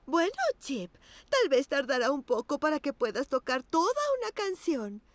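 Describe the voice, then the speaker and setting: A woman's voice: high-pitched, very animated, normal speed, no echo. A woman voicing a kind female cockatoo piano teacher in a cartoon, patient and encouraging. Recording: studio voice with children's music behind.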